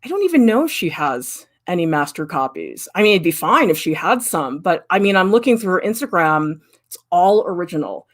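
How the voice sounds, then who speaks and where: A middle-aged woman art professor giving a candid, lively livestream critique and answering viewers' questions. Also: on the low side, fairly quick. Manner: candid, lively, emphatic, with frequent "I mean".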